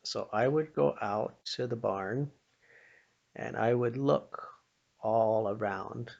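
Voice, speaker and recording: An older American man telling informal inspirational stories in a folksy, plain-spoken manner, pausing now and then. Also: lowish voice, gravelly, darkish tone, lo-fi sound. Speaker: storyteller sharing childhood memories with a religious lesson.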